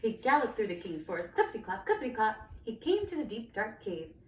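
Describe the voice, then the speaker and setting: A woman's voice: mid-range voice, big pitch swings, fairly quick. A woman narrating a children's storybook with dramatic, emphatic storytelling. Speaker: storybook reader, cantor. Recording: roomy, distant mic, faint noise.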